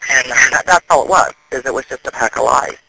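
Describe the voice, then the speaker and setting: A man, an activist, explaining things in a serious phone conversation, often halting and restarting. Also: mid-range voice, vocal fry, sharp tone, breathy edge, poor audio.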